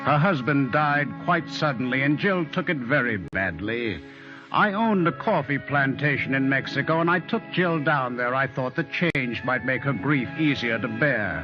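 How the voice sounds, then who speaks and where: Guy acting a wistful plantation owner telling his story, old radio drama, very expressive pitch, music behind, dull sound. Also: close to an everyday voice. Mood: reflective. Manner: dramatic, reflective, with lingering pauses. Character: grieving, wistful male plantation owner recalling a lost legend.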